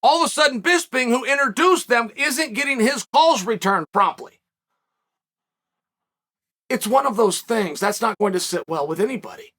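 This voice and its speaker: A man in his forties hosting a solo commentary show, speculating and telling stories in an animated, emphatic way from a dark studio set. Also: extremely high pitch, normal speed.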